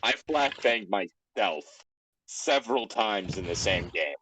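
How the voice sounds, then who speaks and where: A man's voice: lowish voice, lively intonation, measured pace, background noise. A man in a casual group chat, reading a story aloud with sweary, self-deprecating asides.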